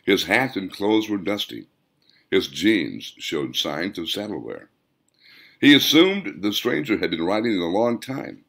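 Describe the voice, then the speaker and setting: A man reading scripted audiobook narration as the author, in measured, deliberate phrases. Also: low-pitched, vocal fry, fairly bright tone.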